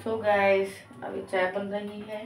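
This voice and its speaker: A hoarse young woman chats to her viewers while she walks around the house holding her phone at arm's length. Clear background noise runs under her relaxed, chatty voice. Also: medium pitch, slow pace, low-quality recording. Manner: chatty, walking and filming herself while doing chores. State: hoarse sore throat. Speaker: vlogger. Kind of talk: casual vlog chat. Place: home kitchen and open rooftop. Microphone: phone held at arm's length.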